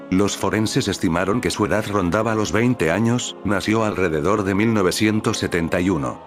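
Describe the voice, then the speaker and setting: A man with a very low voice reads a scripted narration at a steady, even pace. Soft music plays quietly underneath him. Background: faint music.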